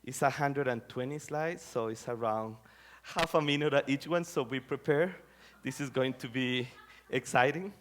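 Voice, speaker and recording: A man's voice: medium pitch, moderate pace, a bit bright, a little hiss or hum. A middle-aged man from Rica, giving an academic talk in a conversational way with many fillers and phrasing. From Costa Rica. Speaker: scholar giving a lecture.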